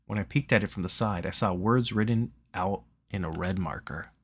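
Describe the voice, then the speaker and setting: A man's voice: deep voice, moderate pace, breathy edge, no echo. A man live streaming a visual novel, reading it aloud and chatting casually.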